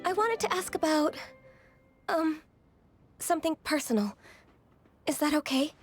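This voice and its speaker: An actress playing a gentle, anxious, deferential young woman in a video game, speaking hesitantly and politely. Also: high voice, animated, measured pace. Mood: nervous. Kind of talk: video game character acting. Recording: light music behind.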